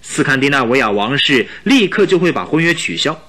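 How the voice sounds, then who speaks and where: A man's voice: mid-range voice, very animated, brisk, fairly bright tone. An actor playing a proud, anxious young king in a radio drama, speaking emphatically and imperiously. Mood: worried, grave.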